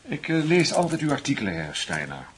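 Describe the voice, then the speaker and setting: Polite smooth visitor in an old radio drama, voice goes up and down, bit hesitant, slight hiss. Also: male voice, mid-range voice, wildly swooping pitch, brisk. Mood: courteous, probing. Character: courteous, smooth-talking gentleman visitor. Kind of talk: Dutch radio drama acting.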